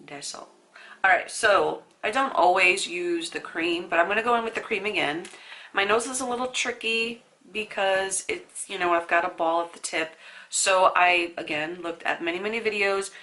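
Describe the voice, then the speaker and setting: A woman's voice: on the low side, moderate pace, pushed and bright. A young woman with a stuffy nose from a sinus infection, chatting casually through a makeup tutorial with asides to the viewer. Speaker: beauty vlogger.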